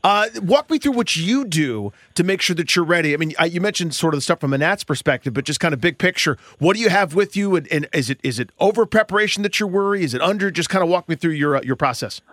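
A man's voice: a little high, animated, fast talker, few pauses, fairly bright tone, no room sound, studio quality. A man hosting a sports radio show, putting questions to a guest in a conversational way.

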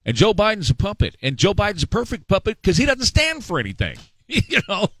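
A man's voice: sits high, wildly swooping pitch, fairly quick, fairly bright tone, no room sound. A middle-aged man hosting a talk show, giving opinionated political commentary in a sarcastic, mocking, emphatic way.

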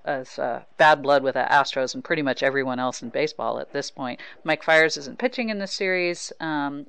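A woman's voice: a bass voice, gravelly, fairly bright tone, clear, resonant tone, no echo. A woman with an American accent, hosting a sports podcast and talking casually as a reporter. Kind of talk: casual conversational sports talk.

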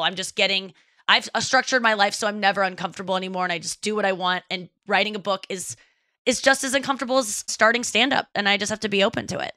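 Candid, bright-voiced woman comedian guest. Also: medium pitch, dry recording. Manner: candid, self-deprecating, laughs easily. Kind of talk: casual interview chat.

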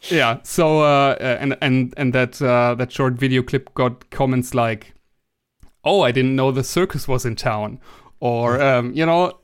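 German-accented man, smooth hesitant speech. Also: medium pitch, a little slow. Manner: hesitant, many restarts and fillers. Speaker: guest. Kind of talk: casual interview conversation.

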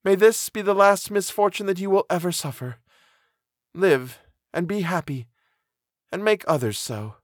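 A man voicing a gentle condemned young woman in an audiobook, mournful, resigned and pious, speaking with earnest tenderness in formal old-fashioned phrases. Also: high-pitched, moderate pace, dry recording, clean studio sound, pitched above the performer's own voice. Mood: tender, sorrowful. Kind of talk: dramatic audiobook character reading.

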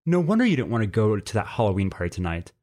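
A man's voice: fairly low pitch, sing-song, fast, a little mellow. A man voicing a nervous, sarcastic teenage male bird in a story reading, with a fretful manner. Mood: indignant. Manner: indignant, fretful.

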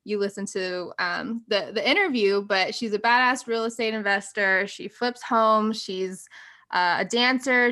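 A woman's voice: mid-range voice, pushed and bright. A woman hosting a podcast, upbeat and slangy, gushing about her guest. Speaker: podcast host. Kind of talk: casual podcast interview.

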